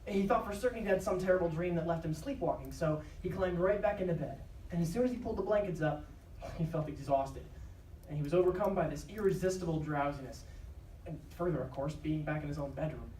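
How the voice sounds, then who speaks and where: A woman's voice: low voice, fast, mellow. A woman narrating a scripted drama live. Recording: echoey live stage, distant, faint noise.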